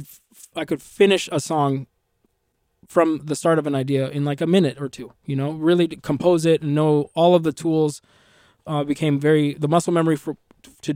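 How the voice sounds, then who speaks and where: A man with a fairly high voice, a native Arabic speaker, speaks reflectively in an interview, often restarting phrases and adding "you know". The sound is dry and close. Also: mellow, dry recording. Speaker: musician guest. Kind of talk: interview conversation.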